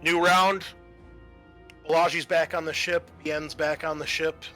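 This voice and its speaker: A man with a bright, slightly high voice runs a tabletop roleplaying game. He narrates and calls for rolls at an unhurried pace, improvising as game master. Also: little pitch movement, faint music behind.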